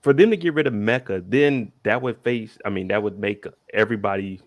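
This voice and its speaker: A man hosting a casual chat about a TV episode, relaxed and slangy, asking follow-up questions. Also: on the low side, a little fast, no echo, studio quality. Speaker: host.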